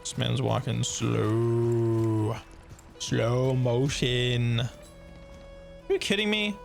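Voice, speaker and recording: A man's voice: medium pitch, extremely slow, gravelly. A man streaming a casual gameplay reaction, muttering informal asides at the screen. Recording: game music behind the voice.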